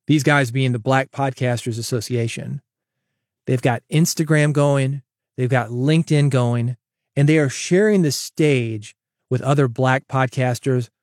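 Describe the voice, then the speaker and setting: A man's voice: medium pitch, darkish tone, no room sound, studio quality. A man hosting his own solo podcast, talking casually and coaching listeners, often calling them "man". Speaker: podcast host.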